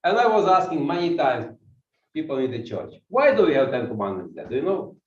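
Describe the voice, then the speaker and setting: A man with a Ukrainian accent preaching and pacing as he talks, firing questions at the people in front of him. His voice sits fairly high and sounds dull and distant. It echoes around a church. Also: sing-song, fairly quick, a little mellow. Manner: walks while preaching, asks the congregation questions. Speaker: guest pastor. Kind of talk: sermon with audience questions. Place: church hall.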